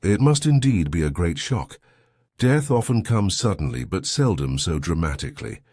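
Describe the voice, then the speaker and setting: A man voicing a gentle, shrewd old lady sleuth in a mystery audiobook, polite and quietly probing. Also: low voice, very animated, moderate pace, no echo, clean studio sound, heavily acted. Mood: sympathetic, probing.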